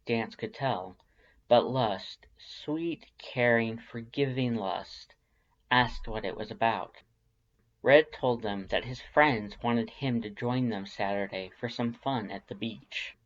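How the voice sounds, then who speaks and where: A man's voice: mid-range voice, highs cut off. A man reading scripted fan fiction narration as a storyteller.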